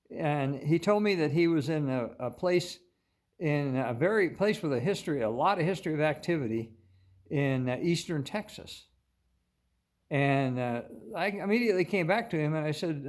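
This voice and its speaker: An older American man with a slightly high voice for a man talks casually to his viewers. He tells his story in a folksy, rambling way, stopping often mid-sentence before picking up the thread again. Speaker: host of a paranormal viewer-story channel.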